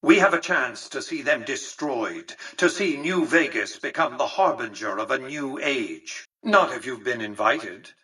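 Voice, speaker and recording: An actor playing an imperious, calculating old tycoon in a video game, speaking formally and precisely with pompous condescension. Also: male voice, mid-range voice, lively intonation, measured pace, hardly any pauses, pushed and bright, breathy edge, recorded from a distance, full character voice. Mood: stern, condescending, then smug, measured. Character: imperious, calculating, centuries-old male tycoon ruling a futuristic Vegas. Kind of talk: scripted video game character dialogue.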